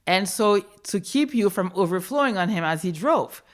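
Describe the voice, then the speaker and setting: A woman's voice: mid-range voice, lively intonation, moderate pace. A woman in her fifties, born in New York and raised in Haiti, telling her own life story in a reflective, candid way. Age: 50s. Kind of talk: personal storytelling.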